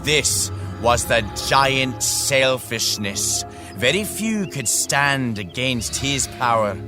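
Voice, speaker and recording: A fatherly storyteller in an audio drama. He is deliberate and theatrical, with wide, swinging intonation. Music plays clearly behind his unhurried delivery. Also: medium pitch, animated. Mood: solemn storytelling. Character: fatherly storyteller reading to children, expressive, patient.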